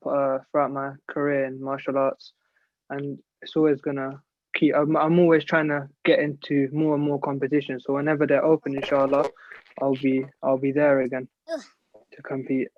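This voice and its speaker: A man's voice: medium pitch, level tone, normal speed, no echo. A young man, talking casually as an interview guest on an internet call. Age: 17, late teens. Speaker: guest, young martial artist. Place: video call. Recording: slightly dull internet call audio.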